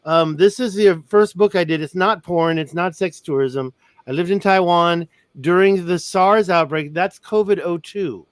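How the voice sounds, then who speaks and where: A man speaking as a guest author in a casual chat, excitable and jokey while plugging his books. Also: high voice, measured pace, no echo. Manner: chatty, excitable, jokey plugs.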